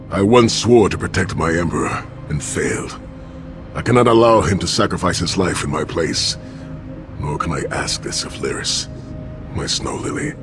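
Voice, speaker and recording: Deep, solemn noble warrior. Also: male voice. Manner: solemn, measured. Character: noble, stoic male warrior, sworn imperial protector. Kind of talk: fantasy game acting.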